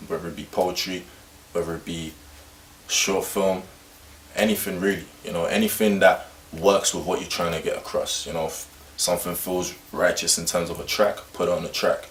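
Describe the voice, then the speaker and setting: A man musician explaining his group's approach in a relaxed, matter-of-fact way. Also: low voice, a little hiss or hum. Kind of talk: interview talk. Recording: echoey room, distant mic.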